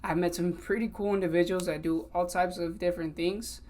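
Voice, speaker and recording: A man's voice: sits high, steady tone, fairly quick, a little mellow. Earnest young male podcast host. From Sacramento, California, also raised in Mexico. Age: 20s. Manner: earnest, conversational, switches between English and Spanish. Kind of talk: solo podcast monologue.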